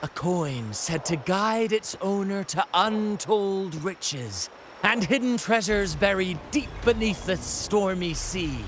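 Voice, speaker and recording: An actor playing a theatrical young pirate captain in an anime game, telling tales with grandiose stage flair. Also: male voice, high-pitched, animated, slow, noisy background. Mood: grand, proclaiming. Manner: grandiose, dramatic stage delivery. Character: theatrical young male pirate captain and showman, flamboyant storyteller. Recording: loud music behind.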